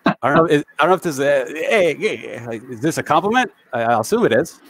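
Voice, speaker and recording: A man's voice: fairly high pitch, expressive, quick pace, a bit bright, no room sound. A man from the New York area hosting a casual livestream over a video call, chatty and self-deprecating as he reads viewer comments aloud. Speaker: livestream host. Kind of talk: casual live-stream chat.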